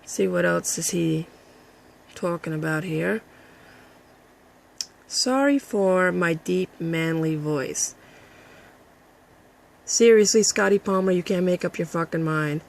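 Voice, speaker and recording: A woman with a raspy, husky voice, ranting combatively and with a lot of profanity at a critic in a vlog. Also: on the low side, slow pace, long pauses. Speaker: vlogger. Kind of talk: addressing a critic to camera.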